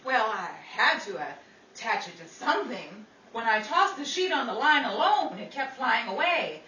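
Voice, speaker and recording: Lady doing a chatty quick-witted 30s radio comedy actress, swoops all over, echoey noisy stage recording. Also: on the high side, big pitch swings, bright, forceful tone. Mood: wry, chatty. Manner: playful, wisecracking ad-libs. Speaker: host and lead actress. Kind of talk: 1930s radio comedy acting before an audience. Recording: roomy, distant, noisy live audience recording.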